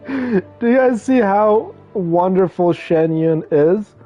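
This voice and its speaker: A man's voice: sits high, expressive, slow, highs cut off. A man streaming a game and giving casual, earnest, effusive commentary on its story, repeating phrases for emphasis. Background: faint music.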